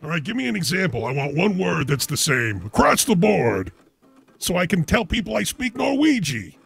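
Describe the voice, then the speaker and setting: A man with a fairly high, gravelly voice hosts a call-in show, bantering wryly and joking around. His delivery swings up and down with lively animation. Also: normal speed, faint music behind. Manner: joking, wry, laughs easily. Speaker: show host.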